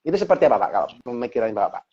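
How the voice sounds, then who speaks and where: A man's voice: mid-range voice, sing-song, racing, darkish tone, husky. A man hosting an interview, who politely sums up the answers and keeps addressing his guest as "Pak.". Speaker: host, interviewer. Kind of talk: casual interview chat. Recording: lo-fi live stream audio.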